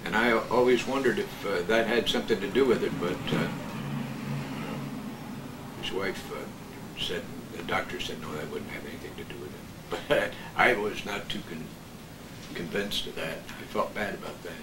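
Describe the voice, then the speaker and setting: An older man recollecting in casual chat, halting and plain-spoken. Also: low-pitched. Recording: distant mic, echoey, noisy room.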